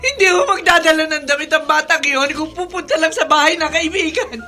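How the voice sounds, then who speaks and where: Distraught radio-drama mother, pleading and tearful, high sharp voice over faint music. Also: brisk. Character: distraught, guilt-ridden mother of a missing daughter. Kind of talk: Tagalog radio drama acting.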